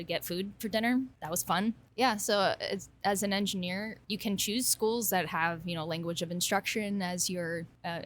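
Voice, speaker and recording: A woman's voice: fairly low pitch, slight background noise. A woman in her thirties, a brewer and engineer, answering interview questions in a casual, self-deprecating way with frequent laughs. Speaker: kombucha brewer and engineering alumna.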